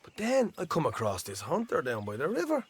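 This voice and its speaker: An actor playing a chatty, roguish young Irish outlaw in a Western video game, teasing and folksy, with an Irish accent. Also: male voice, fairly high pitch, wildly swooping pitch, fairly quick, a little hiss or hum. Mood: boastful, chatty. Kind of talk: video game cutscene acting.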